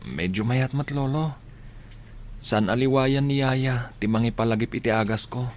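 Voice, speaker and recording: A man's voice: mid-range voice, normal speed, natural voice. Casting: male actor as confident, amiable college boy, Ilocano accent, mid-range voice, radio serial style, faint background noise. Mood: respectful. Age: young adult. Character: friendly, self-assured young male student, respectful grandson. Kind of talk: Ilocano radio drama acting.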